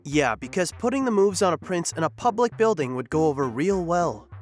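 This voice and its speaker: A performer playing a casual, good-humored space crewmate in an audio drama, flippant and deadpan. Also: mid-range voice, brisk, soft background music, natural voice. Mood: dry.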